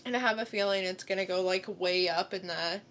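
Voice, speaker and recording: A woman co-hosting a podcast in casual chat, thinking out loud in a halting way. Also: mid-range voice, slight background noise. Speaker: podcast co-host. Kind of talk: casual comic book chat.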